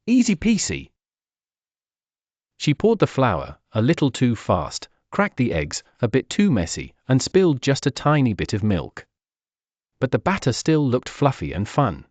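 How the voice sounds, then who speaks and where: A man's voice: mid-range voice, wildly swooping pitch, normal speed, a little mellow, no room sound. A man narrating a scripted children's story as a read-aloud.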